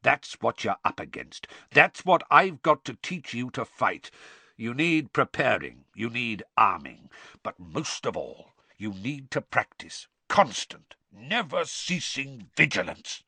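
A man's voice: mid-range voice, very animated, slow pace, bright, forceful tone, breathy edge, no echo, full character voice. A man voicing a stern, intense old battle-scarred professor with a growl and an emphatic lecturing manner in an audiobook.

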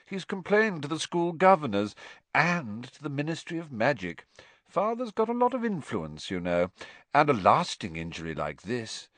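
A man's voice: low-pitched, sing-song, normal speed, a bit bright, no room sound. A man reading a storybook as a spoiled, smirking teenage boy who drawls with whiny false pity. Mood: smug, mock sorrow. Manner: drawling, mock-sorrowful.

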